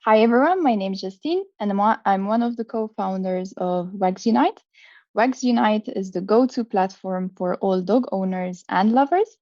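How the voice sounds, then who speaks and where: A woman speaking as a startup co-founder, delivering a rehearsed elevator pitch over an online call with dull sound. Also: moderate pace, a little mellow, no echo. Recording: dull, dry call audio.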